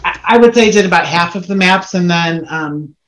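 A woman's voice: on the low side, a little fast, a bit bright. A woman taking part in a casual group discussion, explaining her own experience informally. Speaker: book club participant. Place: indoor room on a group video call. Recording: roomy echo.

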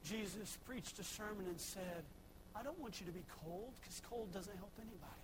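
A young man preaching a sermon, swinging from shouted exhortation to soft, folksy asides. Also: sits high, normal speed, background noise. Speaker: pastor.

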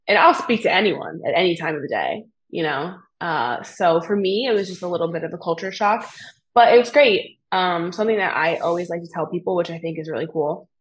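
Young American woman with a slightly low, husky voice. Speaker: podcast guest, fitness coach. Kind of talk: casual conversational storytelling.

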